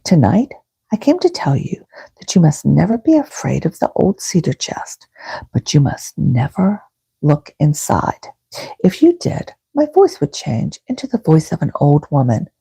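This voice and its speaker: A woman voicing a kindly, happy old witch from a bedtime storybook, with a soft, breathy, youthful-sounding voice and gentle delivery. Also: low-pitched, wildly swooping pitch, measured pace, heavily acted. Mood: kindly, confiding.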